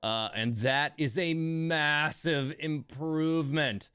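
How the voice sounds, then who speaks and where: A man's voice: a little high, fairly even intonation, slow pace, pushed and bright, clear, resonant tone, dry recording, studio quality. A man giving casual, chatty game commentary as a streamer, talking to viewers and repeating words for emphasis.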